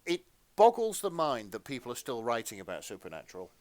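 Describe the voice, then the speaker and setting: A man's voice: mid-range voice, huge pitch swings, a little fast, darkish tone, dry recording. A man co-hosting a podcast in casual conversation, with a dry, understated and slightly hesitant way of talking. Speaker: podcast co-host. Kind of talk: casual chat with read-aloud fan fiction.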